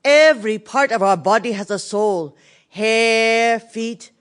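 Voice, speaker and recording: An actress playing a dignified, resolute Cambodian government minister in a dramatic radio theatre monologue. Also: female voice, a little high, slow, clear, resonant tone. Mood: solemn, sorrowful. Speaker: actress in an audio play.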